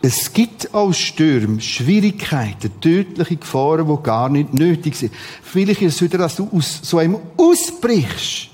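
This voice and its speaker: Husky Swiss German man preaching, fairly high voice. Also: very animated, a little slow, a little mellow. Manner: dramatic, emphatic delivery with repeated short phrases. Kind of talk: sermon.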